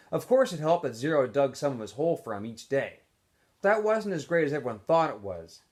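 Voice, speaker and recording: A man reading a story aloud as a teacher would, in an expressive, animated narrating style. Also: mid-range voice. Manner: animated, acts out dialogue in shifting voices.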